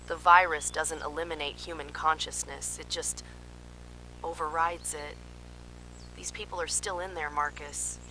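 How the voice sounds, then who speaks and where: A woman's voice: deep voice, noisy background. A woman playing an alarmed park scientist, giving urgent, clinical field reports in an analog horror drama. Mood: grim, disturbed. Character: alarmed female park scientist transmitting desperate emergency warnings.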